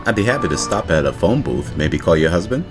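A man's voice: low-pitched, very animated, brisk. A man playing a concerned, polite taxi driver in an audio drama. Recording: music under the voice.

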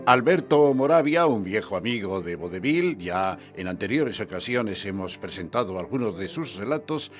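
A man presenting a radio theatre programme in a scripted, measured announcing manner. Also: on the low side, expressive, music in the background.

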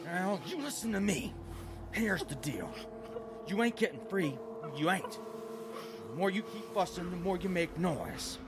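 An actor playing a menacing male kidnapper with a slow, soothing yet threatening drawl. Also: sits high, expressive, noisy background.

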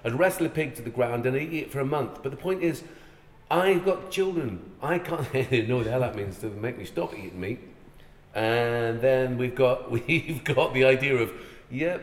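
A man originally from Ireland, hosting a casual podcast chat. He talks forcefully, cuts in often and mixes jokes with strong opinions. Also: mid-range voice. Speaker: podcast host. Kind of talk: casual conversation. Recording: echoey room with long reverb.

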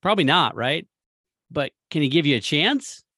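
Animated American man talking sports casually. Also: on the high side, moderate pace, dry recording, studio quality. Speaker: sports talk co-host.

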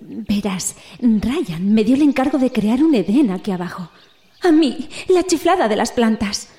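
A woman's voice: fairly high pitch, lively intonation, measured pace, mellow. A woman playing a worried, self-deprecating botanist confiding in a colleague in an audio drama. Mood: concerned, confiding.

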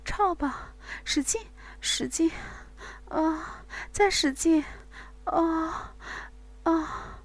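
A woman's voice: extremely slow, heavily acted. A woman acting as a breathless, moaning adult lover. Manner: moaning, high and breathy cries.

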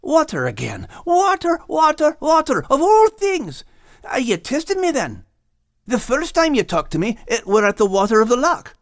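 A plucky Scottish dog from an animal storybook, speaking in folksy dialect. His voice is pitched high and swings with animation, earnest throughout, in a close dry recording. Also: wildly swooping pitch, moderate pace, dry recording, exaggerated voice. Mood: pleading, perplexed. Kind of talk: audiobook character acting.

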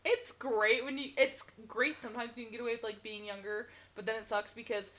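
A woman's voice: on the high side, fairly even intonation, fairly quick, bright, forceful tone. A woman in her twenties co-hosting a podcast in casual chat, giggly and chiming in with jokey quotes. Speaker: podcast co-host.

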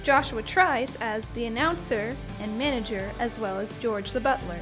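A woman delivers a scripted announcement. Her pitch is on the high side, with clear instrumental music behind her. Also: normal speed, poor audio. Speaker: credits announcer.

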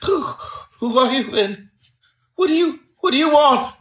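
A man's voice: very high voice, animated, measured pace, a bit bright, voice pushed up, exaggerated voice. A male narrator voicing a cringing, nervous little man with a whining, quavering voice in a storybook. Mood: frightened, suspicious. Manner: timid, agitated, pleading.

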